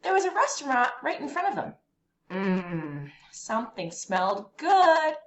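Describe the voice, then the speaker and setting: Female storyteller for a children's picture book, highish and very lively in pitch, roomy home-style sound. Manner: animated, deliberate phrasing for young listeners. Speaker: read-aloud storyteller. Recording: roomy echo, faint background noise.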